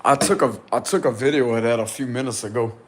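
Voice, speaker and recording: A man vlogging casually and insistently from a small rented room, with a deep, loud voice. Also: quick pace, husky. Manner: excited, insistent, slangy. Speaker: spiritual vlogger. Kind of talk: casual vlog to camera.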